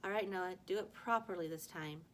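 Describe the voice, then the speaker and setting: A woman's voice: fairly low pitch, a little fast, slight background noise, close to an everyday voice. A woman voicing an unimpressed female math teacher in a storybook, dryly sarcastic and mock-sympathetic.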